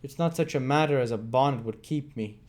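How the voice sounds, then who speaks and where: A man reading aloud and voicing a desperate young woman, his voice raised high and strained as she pleads. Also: brisk, darkish tone, natural voice. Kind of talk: dramatic read-aloud.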